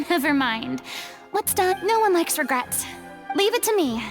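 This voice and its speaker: Game role: proud, wistful young stage diva, high-pitched with some fry, theatrical delivery. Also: female voice, measured pace, soft background music, exaggerated voice. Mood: confident, wistful. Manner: theatrical, expressive. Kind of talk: dramatic video game voice acting.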